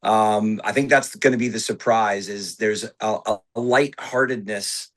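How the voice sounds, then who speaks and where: A man's voice: on the low side, steady tone, unhurried. Thoughtful male actor in interview. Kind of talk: interview answer.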